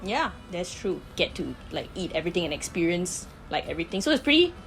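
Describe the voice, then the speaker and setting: A woman answers interview questions softly and hesitantly, often murmuring agreement. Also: fairly low pitch, very animated, fast talker, faint music behind, low-quality recording.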